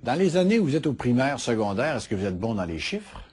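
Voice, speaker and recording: A man's voice: medium pitch, very animated, brisk, darkish tone, breathy edge. A man hosting an interview, asking short questions and finishing his guest's sentences. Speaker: host interviewer.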